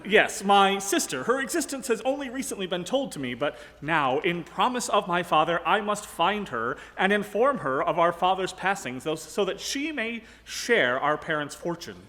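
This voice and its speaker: An actor playing an earnest, mock-heroic French-Canadian explorer in a comic live radio play, with formal, theatrical diction. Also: male voice, high-pitched, big pitch swings, normal speed, few pauses, bright, forceful tone, full character voice. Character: earnest, melodramatic French-Canadian explorer, orphaned young hero. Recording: reverberant ballroom stage.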